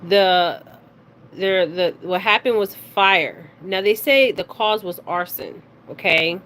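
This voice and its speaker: Halting woman on a noisy recording. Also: lowish voice, a bit bright. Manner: halting, stumbles over words, often checks in with "okay?". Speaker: spiritual reader and card reader hosting her own video. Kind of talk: casual psychic reading, reading news details aloud. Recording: clearly noisy recording with background ambience.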